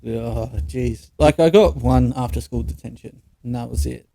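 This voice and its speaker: A man's voice: medium pitch, moderate pace, gravelly, mellow. A young Australian man co-hosting a podcast, telling stories in a casual, sweary and blunt way. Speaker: podcast co-host. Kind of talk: casual chat, anecdotes with mates.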